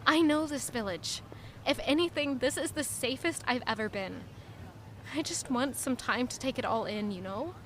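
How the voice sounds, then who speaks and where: An actress plays a young noblewoman with a high, very bright and forward voice. She is a spirited but guarded lady-in-waiting, speaking as the heroine and narrator of an audio drama. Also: animated, normal speed, a little hiss or hum. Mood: exasperated, coaxing. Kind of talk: audio drama dialogue and first-person narration.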